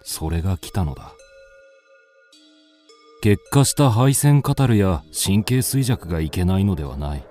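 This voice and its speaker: An actor playing a brooding, melancholic man narrating his wanderings in a measured, dramatic radio drama monologue. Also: low voice, animated, warm tone, soft background music. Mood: gloomy, brooding.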